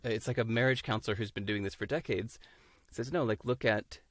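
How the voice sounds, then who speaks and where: Guest, fifties, American man, lowish voice, muses aloud on a dry video call. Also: a little fast, fairly bright tone, dry recording. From United States. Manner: thoughtful, searching for words, laughs easily. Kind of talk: casual interview chat. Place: home room, indoors.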